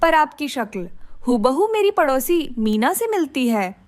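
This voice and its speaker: A woman's voice: sits high, big pitch swings, a little slow, dry recording, clean studio sound. A woman playing a kind, helpful village vegetable seller, earnest and exclaiming, in a children's moral story. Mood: puzzled.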